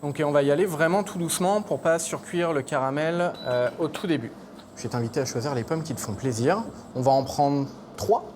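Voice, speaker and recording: A man's voice: a little high, brisk, a little mellow, a little hiss or hum. A man from Normandy working as a chef, explaining recipes step by step in casual, slightly hesitant chat. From Normandy, France. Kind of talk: cooking demonstration with casual chat. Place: kitchen.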